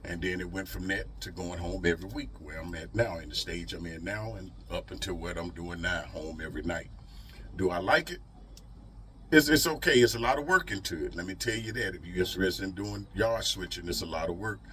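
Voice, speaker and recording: A man's voice: sits low, a little fast, a bit bright, recorded from a distance, a little hiss or hum. A middle-aged man talks casually to his vlog audience in a folksy, emphatic way, repeating phrases for effect. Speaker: truck driver vlogger.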